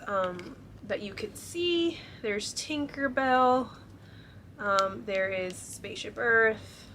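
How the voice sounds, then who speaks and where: A woman's voice: medium pitch, pushed and bright, slight background noise. A young woman chatting casually to camera, her voice stuffy and congested from a cold, filling her talk with ums and likes. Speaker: vlogger showing a shopping haul.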